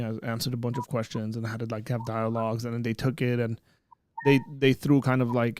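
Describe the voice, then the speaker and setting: A man in his thirties hosts a relaxed live stream chat, rambling along with lots of fillers and restarts. His voice sits at a middle pitch, and the recording is close and dry with no room sound. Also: dry recording. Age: 30s. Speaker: host of a live birthday stream. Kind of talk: casual chat. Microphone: streaming setup with green screen.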